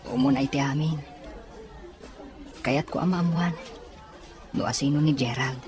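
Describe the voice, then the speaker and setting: An actor with a Filipino accent playing a humble, earnest, soft-hearted young student in an audio drama. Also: male voice, mid-range voice, normal speed, soft background music, lightly acted. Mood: gentle, probing.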